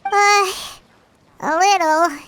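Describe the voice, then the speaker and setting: A woman voicing a small, childlike fairy companion in a video game, excitable and earnest with an emphatic way of talking. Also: very high-pitched, extremely slow, heavily acted. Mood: flustered, nervous. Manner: refers to herself in the third person, emphatic. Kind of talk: video game character acting.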